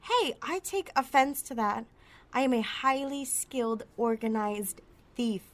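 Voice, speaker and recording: A woman's voice: a little high, lively intonation, unhurried. A woman playing a cocky, wisecracking outlaw thief with a sassy, sarcastic, foul-mouthed delivery in an ASMR roleplay audio drama. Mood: sulky, teasing.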